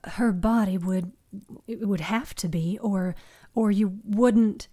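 A woman performs a shaken young male in a spooky fiction podcast. He speaks slowly and softly, stammering in earnest dread, with a mellow tone. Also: medium pitch. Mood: shaken, hesitant. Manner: halting, stammering.

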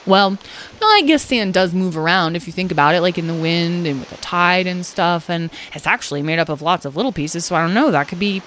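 Woman playing a chatty, rambling, excitable boy. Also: on the low side, fairly quick, slight background noise, lightly acted. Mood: pondering. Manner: rambling, full of likes and you-knows.

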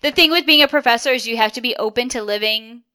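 A woman's voice: on the high side, quick pace, sharp tone, clean tone. A woman hosting a casual chat, encouraging and asking questions. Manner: encouraging, asks questions, laughs easily. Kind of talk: casual conversation.

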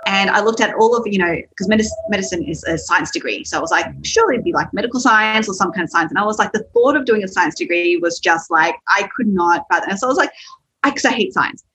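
Chatty Australian woman, husky voice. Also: mid-range voice, animated, pushed and bright, rough recording. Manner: chatty, laughs often, quick asides. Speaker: guest. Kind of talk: podcast interview.

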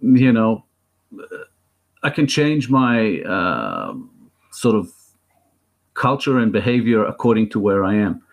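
Low-voiced Israeli man, halting. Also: big pitch swings, creaky. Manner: thoughtful, halting, many restarts and fillers. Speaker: guest filmmaker. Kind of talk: interview conversation.

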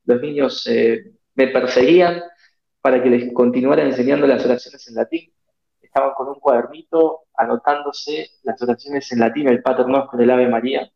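South American missionary priest, gravelly, earnest interview answers, dull echoey audio. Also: male voice, medium pitch, fairly even intonation. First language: Spanish. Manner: earnest, reflective, many pauses and fillers.

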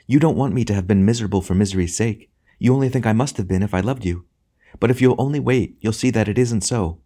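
Audiobook role, male reader: blessed lady spirit, tender, earnest, quietly amused, pitched well above a man's talk. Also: fast. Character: serene, joyful, loving lady spirit, gently teasing. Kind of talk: audiobook character acting.

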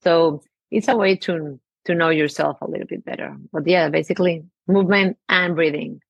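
A woman with a Mexican Spanish accent talks as a guest in a casual interview. She speaks gently and feels her way through her phrases. Her voice is low and breathy, and it is recorded close and dry. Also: moderate pace, dry recording, dull audio. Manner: gentle and searching, many restarts and "I mean". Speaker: yoga teacher and podcast guest.

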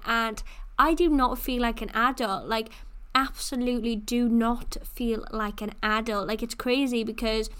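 A woman's voice: on the high side, a bit bright, clear, resonant tone. A woman, hosting a casual solo podcast and chatting freely, with plenty of "like" and "you know.". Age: 20s. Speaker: podcast host.

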